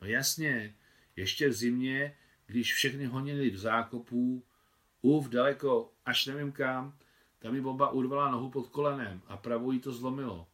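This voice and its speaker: A man's voice: mid-range voice, fairly bright tone, pitched above the performer's own voice, lightly acted. A man voicing a shy, earnest young boy in an audiobook.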